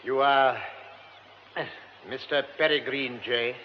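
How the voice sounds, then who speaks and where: An actor playing a formal, insistent gentleman who speaks with hesitant stammers. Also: medium pitch, steady tone, slow, lightly acted. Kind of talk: radio drama acting. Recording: dull old radio recording with hiss.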